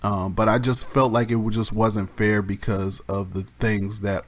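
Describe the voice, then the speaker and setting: A man with a slightly raspy voice, casually explaining a game mode in a rambling way, with restarts and many ums. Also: on the low side, little pitch movement, normal speed. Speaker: gaming YouTuber.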